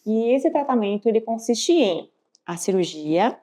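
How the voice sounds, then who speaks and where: A woman's voice: on the high side, big pitch swings, unhurried, mellow. A Brazilian woman co-hosting a podcast, explaining things conversationally as a health professional and often adding small check-in tags. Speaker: podcast co-host and pediatric dentistry professional.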